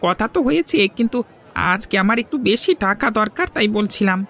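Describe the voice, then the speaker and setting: A man's voice: high voice, animated, brisk, fairly bright tone, a faint crowd. A man voicing an earnest, protesting young farmer, a younger brother. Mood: hesitant. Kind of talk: moral-story cartoon dubbing. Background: faint music.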